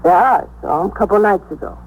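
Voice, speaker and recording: A woman's voice: mid-range voice, fast, narrow, tinny audio, natural voice. An actress playing a groggy, indignant young American woman with a whiny, slangy manner in 1950s radio drama style. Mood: evasive, groggy. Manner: whiny, slangy, put-upon. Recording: noisy 1950s radio recording.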